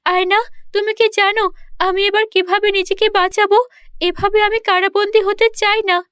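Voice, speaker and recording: A woman's voice: extremely high pitch, little pitch movement, moderate pace, a bit bright, no echo, clean studio sound, pitched above the performer's own voice. A woman voicing a naive, tender-hearted young princess in a children's cartoon, with an earnest, pleading manner. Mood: distressed, pleading. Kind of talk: children's cartoon story dialogue.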